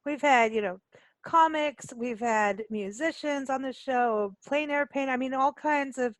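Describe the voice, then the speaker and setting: A woman's voice: sits high, a little slow, no echo. A woman hosting a radio interview in a casual, chatty and enthusiastic way. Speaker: radio show host.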